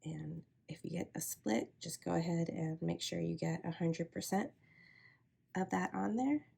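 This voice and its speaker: A woman with a head cold, sounding congested, calmly talking through a crochet tutorial step by step. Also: on the low side, measured pace, gravelly, breathy edge. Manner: calm, steady instructional pacing with soft asides. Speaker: crochet teacher.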